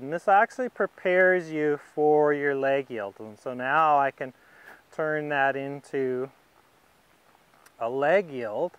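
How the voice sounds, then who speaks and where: A man giving a calm, patient, step-by-step riding lesson, narrating as he rides. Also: a little high, smooth, no room sound, lo-fi sound. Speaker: riding instructor. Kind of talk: instructional demonstration narrated while riding.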